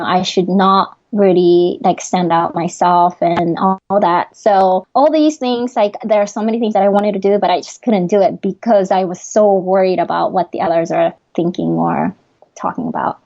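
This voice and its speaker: A woman's voice: mid-range voice, dark tone, dull audio. A young adult woman with a Japanese accent, a guest in a podcast interview, talking earnestly with frequent fillers and laughs.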